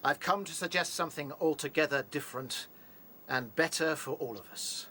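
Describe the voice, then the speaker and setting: An actor playing a brave, reasonable rabbit leader in a radio drama, earnest and decisive. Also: male voice, on the high side, normal speed, bright, forceful tone, slight background noise. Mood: earnest diplomacy. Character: brave, reasonable young male rabbit chief. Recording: faint ambient sound behind voice.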